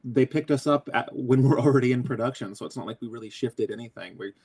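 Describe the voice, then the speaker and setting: A man who voices a cartoon character, talking in a casual interview, excitable and crude, joking and restarting often. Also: mid-range voice, fast talker, breathy edge. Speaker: voice actor guest.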